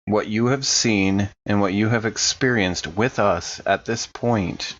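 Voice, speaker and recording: A man casually improvising and narrating as a player in a tabletop horror podcast, halting and repeating his words. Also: on the low side, fairly bright tone, lo-fi sound, a little hiss or hum.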